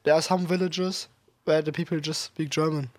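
A man's voice: fairly high pitch, level tone, normal speed, a little mellow, no echo. A man chatting on a radio show in a studio, casual and chatty. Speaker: studio guest.

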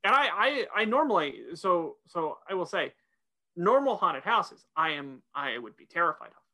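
A woman's voice: fairly low pitch, sing-song, normal speed, sharp tone. A woman co-hosting a podcast in casual discussion, hesitant and often repeating words as the thought forms. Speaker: podcast co-host.

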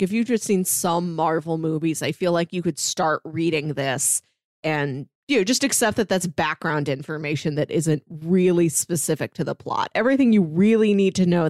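Female co-host, slightly low pitch, casual book talk, chatty delivery, dry recording. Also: darkish tone, no room sound. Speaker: podcast co-host.

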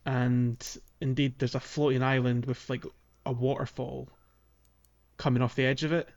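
A man's voice: mid-range voice, flat delivery, normal speed, a little mellow. Man chatting, slightly dull recording. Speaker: podcast co-host. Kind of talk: casual video game playthrough chat.

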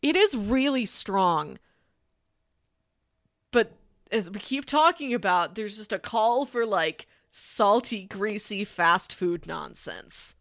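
A woman's voice: medium pitch, expressive, takes it slowly. A woman with a mid-range voice co-hosts a casual review podcast. She talks informally and slangily, sprinkling "like" through her sentences as she goes. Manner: informal, slangy, uses "like" a lot. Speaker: podcast co-host reviewing anime. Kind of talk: casual chat.

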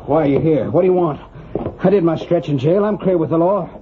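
An actor playing a vengeful, smug crime boss in an old radio western, menacing, gloating and excitable. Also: male voice, on the high side, brisk, close to an everyday voice. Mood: alarmed. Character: vengeful, smug male gambling-house crime boss and ex-convict. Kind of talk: 1940s radio western villain acting. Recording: dull, slightly noisy old radio recording.

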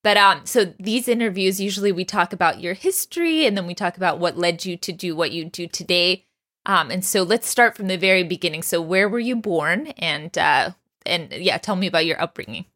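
A woman's voice: on the low side, lively intonation, fairly quick, a bit bright. A woman hosting a podcast, reading an upbeat introduction and then chatting and laughing easily with her guest. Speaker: podcast host. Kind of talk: scripted intro then casual interview.

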